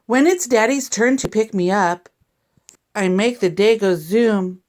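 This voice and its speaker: A woman reading a picture book aloud to young children in an expressive, deliberate, teacherly way. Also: medium pitch, a little hiss or hum. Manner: deliberate, animated reading with sound effects and asides to listeners.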